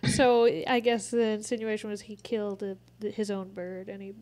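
A woman's voice: mid-range voice, fairly even intonation, normal speed, darkish tone. A woman of twenty-six co-hosting a podcast, telling stories in an offhand, swearing, casual way. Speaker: co-host. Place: home studio with bookshelves. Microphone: studio mic with headphones.

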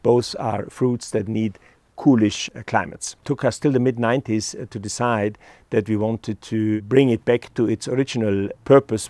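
Casting note: middle-aged Austrian-accented man, calm and halting delivery, dry close sound. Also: on the low side, no room sound. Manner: measured, frequent "uh" pauses. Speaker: wine estate proprietor. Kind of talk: presenting a virtual wine tasting. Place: outdoors on a hilltop above a lake.

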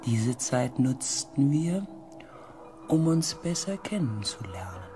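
A man narrating a science fiction radio drama as a reflective crew member, in a hushed, deliberate way. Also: medium pitch, big pitch swings, soft background music. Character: reflective crew member recounting a space expedition. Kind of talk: scripted first-person radio drama narration.

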